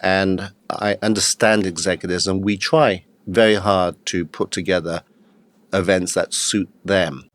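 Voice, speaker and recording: A man in his fifties, a guest in a podcast interview, telling his story in a steady, matter-of-fact way with the odd hesitant "uh.". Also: low voice, measured pace, a little hiss or hum. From England. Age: 50s. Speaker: guest, executive coach.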